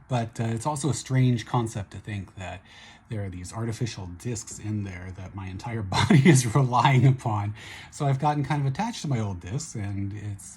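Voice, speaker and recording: A middle-aged man with a hoarse voice talks casually at home in a video diary. His voice sits very low and sounds husky and rough. He is relaxed and upbeat, with many fillers and restarts. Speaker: vlogger recovering from surgery.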